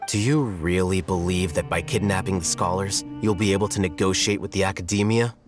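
An actor playing a cool, self-assured young scholar in a video game, measured, dry and articulate. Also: male voice, low-pitched, steady tone, natural voice. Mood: calm persuasion. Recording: faint music under the voice.

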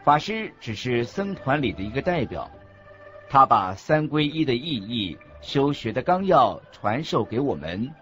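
A man's voice: mid-range voice, big pitch swings, a little slow, fairly bright tone. A man reading scripted religious teaching for a radio drama in a careful, didactic manner. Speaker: narrator voicing a monk's teachings. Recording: muffled audio with music bed. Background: background music.

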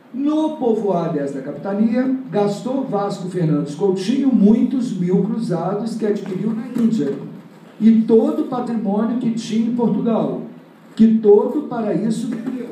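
A man's voice: high voice, mellow. An older man giving a lecture, reading an old historical text aloud and breaking off to comment on it, recorded from a distant mic in an auditorium. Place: auditorium with panel table. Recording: echoey hall recorded from afar.

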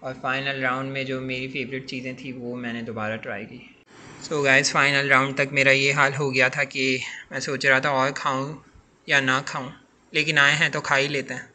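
A man's voice: mid-range voice, flat delivery, moderate pace, bright, forceful tone, a little hiss or hum. A man in his twenties vlogging about a restaurant buffet in a casual, chatty way, often saying "so guys" and mixing in English phrases. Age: 20s. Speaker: food vlogger. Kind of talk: casual vlog voice-over.

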